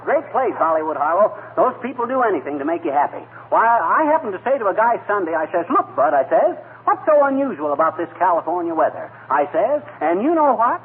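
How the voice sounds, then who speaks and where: An actor playing a blustering, folksy, wisecracking small-town husband in a 1940s radio comedy. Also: male voice, high voice, big pitch swings, a little fast, hardly any pauses. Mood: boastful. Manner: folksy, grandiose, grumbling. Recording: tinny, noisy 1940 radio recording.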